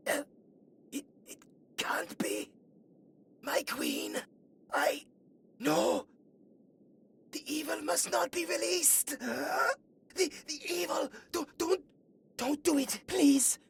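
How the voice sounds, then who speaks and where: A woman playing a young, earnest and chatty elven guard in a fantasy audio roleplay, musing aloud in a soft, hesitant way. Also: high voice, huge pitch swings, takes it slowly, creaky, long pauses, heavily acted. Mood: terrified, pleading.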